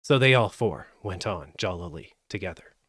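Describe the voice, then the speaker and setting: A man narrating a fairytale as a live audiobook, with an expressive, measured delivery. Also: low-pitched, dry recording, clean studio sound. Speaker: storyteller.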